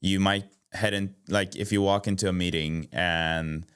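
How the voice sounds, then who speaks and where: Deep-voiced man from Norway hosting a podcast. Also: fairly even intonation, moderate pace, fairly bright tone, clean tone. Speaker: podcast host. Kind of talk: casual interview chat.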